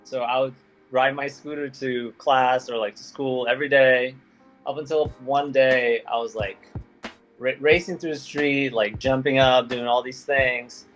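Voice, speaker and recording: A man's voice: medium pitch, fairly even intonation, unhurried, fairly bright tone, soft background music, poor audio. A young man from Belgium, a guest skater talking in a loose, laid-back way with lots of fillers. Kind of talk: casual interview chat.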